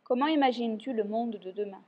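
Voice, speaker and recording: A woman's voice: a little high, fast talker, slight background noise. A woman interviewer reading out interview questions plainly. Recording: dull lo-fi recording.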